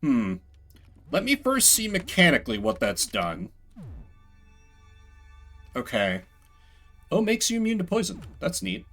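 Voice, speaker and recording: A man's voice: fairly high pitch, wildly swooping pitch, measured pace, long pauses, fairly bright tone, faint music behind. A man streaming a video game with casual, wry, joking commentary, thinking aloud as he plays.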